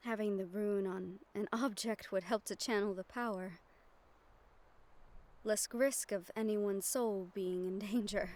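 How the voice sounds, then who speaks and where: A woman playing a gentle, earnest young magic-wielder in an audio roleplay, soft-spoken and measured with thoughtful pauses. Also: mid-range voice, natural voice. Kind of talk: audio roleplay acting, addressed to the listener. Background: ambient sound effects.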